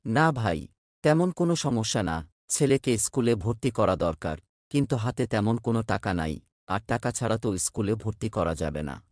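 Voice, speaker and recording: A man's voice: lowish voice, animated, moderate pace, darkish tone, dry recording, studio quality, natural voice. A man playing a humble, troubled poor village father in a cartoon, meek and apologetic.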